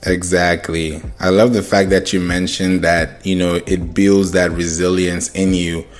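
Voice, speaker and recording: A man's voice: sits low, little pitch movement, a little slow. A man hosting a podcast interview, encouraging and affirming toward his guest. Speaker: podcast host.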